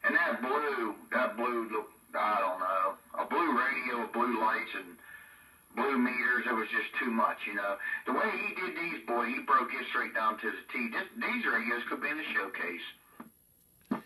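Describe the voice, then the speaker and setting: A folksy man chats casually as a radio hobbyist. His voice is very bright and forceful, drawling along in loose colloquial phrases. It comes with a strong echo, a little away from the microphone, with faint music behind. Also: medium pitch, expressive, measured pace, recorded from a distance. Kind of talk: casual chat about radio gear. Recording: echo-effected, roomy sound from off mic.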